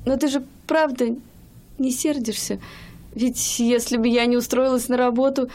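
Actress playing a young wife, loving, stubborn, chatty, higher voice, radio drama. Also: female voice, fairly even intonation, normal speed, a little mellow, lightly acted. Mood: pleading. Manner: chatty, pleading, sometimes hesitant. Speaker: radio play actor.

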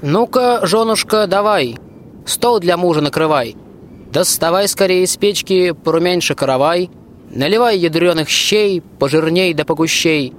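Young man as a bold, higher-voiced folk soldier. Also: measured pace, a little hiss or hum. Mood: hearty, puzzled. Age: young adult. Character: bold, hearty soldier husband back from voyage. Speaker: school student actor. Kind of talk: theatrical verse radio play.

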